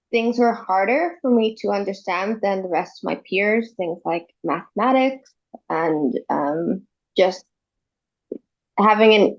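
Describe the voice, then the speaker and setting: A woman's voice: mid-range voice, dull audio. A young Irish woman, a guest on a podcast, telling her story in a conversational way with many ums. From Ireland. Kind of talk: casual interview talk. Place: home in Canada, remote call.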